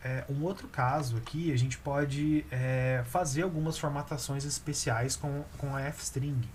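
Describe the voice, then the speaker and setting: A Brazilian man walks through code in a relaxed, halting way, at a mid pitch, with fillers between steps. Clear background noise and keyboard typing sit under his voice. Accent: Brazilian Portuguese. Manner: relaxed, hesitant explaining with fillers while typing. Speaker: programming instructor. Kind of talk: screen-recorded coding lesson.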